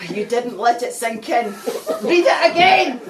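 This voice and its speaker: A woman's voice: on the high side, expressive, measured pace, a crowd in the background. A woman playing a hysterical, badgering wife who pleads for her husband in broad comic stage style. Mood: accusing, badgering. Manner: badgering, melodramatic, wailing, repetitive. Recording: echoey room, distant mic, faint noise.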